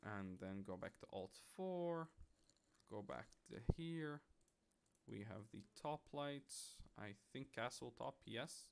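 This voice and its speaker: A man's voice: medium pitch, expressive, slow pace, gravelly, slight background noise. A man from Lebanon doing casual livestream commentary as he works, thinking aloud with playful little sound-words and muttered self-mockery. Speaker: streamer, game level developer.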